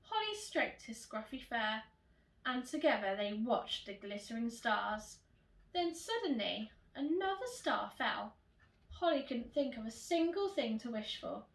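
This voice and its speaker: Roomy distant recording, woman, primary school teacher, lively swooping intonation, storytelling for young children. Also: on the high side, unhurried. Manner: expressive, addressing young children directly. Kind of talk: animated children's storybook reading. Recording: roomy echo, distant microphone.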